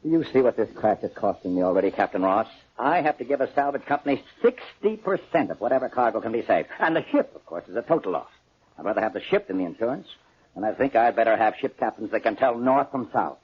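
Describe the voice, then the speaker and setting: An irate shipping magnate who believes nobody. He talks fast and husky with lively, swooping emphasis, blustering through an old-time radio drama. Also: medium pitch, muffled recording. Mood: irate, incredulous. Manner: blustering, incredulous. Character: irate, skeptical male shipping-company owner.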